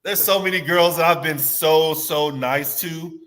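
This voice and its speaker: A man's voice: a little high, a little slow, a bit bright. A man with a stuffy nose, guesting on a casual podcast chat, rambling and restarting his sentences. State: stuffy nose, under the weather.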